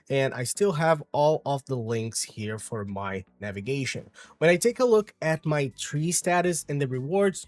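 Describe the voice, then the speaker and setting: A man's voice: on the high side, no echo. A man in his forties with a Brazilian Portuguese accent, an English teacher patiently explaining his course step by step.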